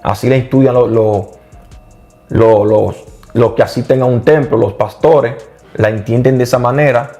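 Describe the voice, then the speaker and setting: An earnest middle-aged man explaining scripture in a casual talk, at an ordinary mid pitch. He stumbles and restarts as he goes and readily agrees with his partner. Faint music sits underneath. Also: steady tone, normal speed, warm tone. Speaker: co-host of a Bible study channel. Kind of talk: casual religious discussion.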